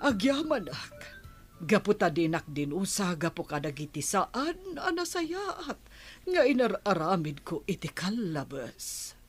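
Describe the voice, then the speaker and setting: A woman acting a remorseful tribeswoman in a radio play. She speaks slowly with lilting, animated pitch. Music plays under her voice. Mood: remorseful, grateful. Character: repentant, humble tribeswoman begging a chief's pardon. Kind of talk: Filipino radio drama acting.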